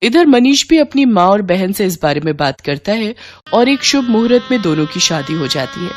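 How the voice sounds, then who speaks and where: A woman's voice: mid-range voice, big pitch swings, moderate pace. A woman narrating a scripted moral story as the storyteller.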